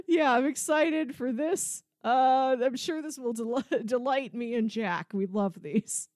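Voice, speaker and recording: A woman's voice: sits high, slow, darkish tone, no room sound, studio quality. A woman co-hosting a podcast in casual, enthusiastic conversation. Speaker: podcast co-host. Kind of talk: casual chat.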